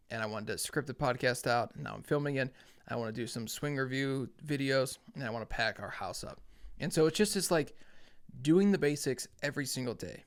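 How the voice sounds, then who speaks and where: A man's voice: medium pitch, fairly bright tone. A young man hosting a podcast, talking alone in a casual, earnest, plainspoken way, thinking aloud. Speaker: podcast host. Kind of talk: casual solo talk.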